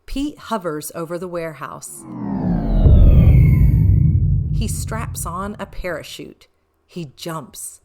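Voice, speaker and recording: A woman reading a children's picture book aloud in an expressive, deliberate way with emphasis on short phrases. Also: on the low side, a little hiss or hum. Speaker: read-aloud storyteller for children.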